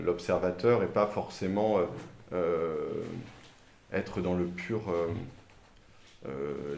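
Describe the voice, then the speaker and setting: A man speaking on a round-table panel, hesitant and halting with many fillers and restarts. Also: sits low, darkish tone, a little hiss or hum. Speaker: author on a panel. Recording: echoey room, faint ambience.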